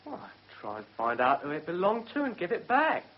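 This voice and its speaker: An actor playing a surly, defiant career criminal on trial in a radio drama, speaking bluntly with a husky voice and a working-class English accent. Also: male voice, high voice, huge pitch swings, brisk, a little hiss or hum, exaggerated voice. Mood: guarded, insistent. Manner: blunt, colloquial. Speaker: defendant in a courtroom drama. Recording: muffled, dull radio drama recording.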